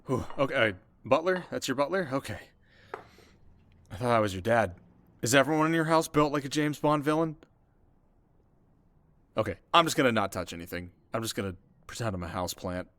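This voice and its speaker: A man's voice: mid-range voice, expressive, a little fast, long pauses, a bit bright, natural voice. A man playing a cocky, teasing delinquent teenage boy in an ASMR roleplay, talking casually and wryly straight to the listener. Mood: awed, nervous. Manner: casual, wry, sarcastic, sometimes flustered. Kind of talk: ASMR audio roleplay, one-sided dialogue to listener. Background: music.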